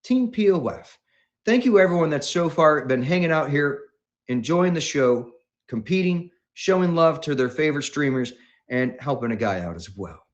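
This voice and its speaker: A man hosting a livestream, talking in an enthusiastic, rambling way with many halting pauses. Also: on the high side, expressive, muffled recording. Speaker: livestream host.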